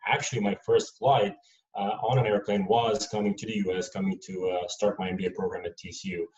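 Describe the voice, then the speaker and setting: A man from Serbia answering questions on a live panel, measured and hesitant with many fillers. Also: lowish voice, level tone, a little mellow. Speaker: panelist, airline employee. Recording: dull, roomy sound from a distant mic.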